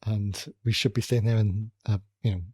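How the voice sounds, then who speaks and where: Man, Welsh accent, podcast co-host, casual chat, husky, dry-sounding room. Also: fairly low pitch, steady tone, normal speed, a little mellow, no echo. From Wales. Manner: rambling, self-correcting, dry humour.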